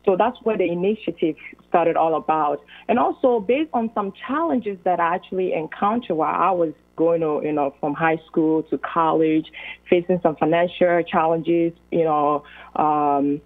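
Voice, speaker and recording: A woman's voice: fairly low pitch, normal speed. A young woman from Ghana with a Ghanaian accent, a guest on a call-in radio talk show, speaking earnestly with many fillers. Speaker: guest accountant. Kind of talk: phone-in radio interview. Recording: tinny phone line.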